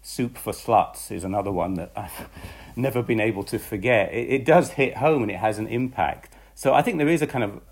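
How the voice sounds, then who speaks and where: A man being interviewed as a magazine writer, answering reflectively and with amusement, pausing on ums. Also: fairly low pitch, husky.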